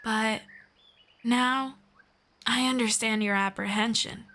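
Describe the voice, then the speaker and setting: A woman playing a friendly, curious elf in a roleplay audio drama, speaking in a gentle, measured and formal way to one listener. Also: fairly high pitch, fairly even intonation. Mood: thoughtful, earnest.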